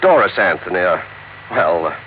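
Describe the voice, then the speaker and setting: Radio drama bit part: flustered, polite manager, hemming along with wildly swinging pitch, phone-like vintage sound. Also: male voice, sing-song, moderate pace. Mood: hesitant, polite. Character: hesitant, fussy male apartment manager, easily flustered. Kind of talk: 1950s radio drama acting. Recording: thin, phone-like old radio recording, faint noise.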